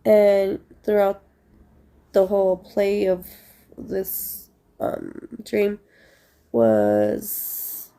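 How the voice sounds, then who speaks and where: A woman's voice: medium pitch, very animated, extremely slow, pauses often, mellow, slight background noise. A young woman with a stuffy, congested voice, casually telling a rambling story to her viewers with many fillers. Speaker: vlogger.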